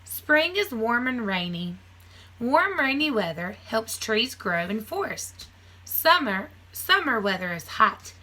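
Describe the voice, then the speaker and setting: Bright-voiced woman reading aloud to children. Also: fairly high pitch, very animated, slow, rough recording. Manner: simple, clearly phrased teacherly delivery addressed to young children. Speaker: teacher reading aloud to a class. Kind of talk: children's nonfiction read-aloud with casual asides.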